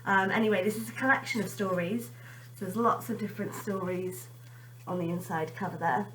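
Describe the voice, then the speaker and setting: A woman's voice: mid-range voice, steady tone, fairly quick, fairly bright tone, recorded from a distance, a little hiss or hum, low-quality recording. A woman teacher chatting informally to children and reading them a story expressively.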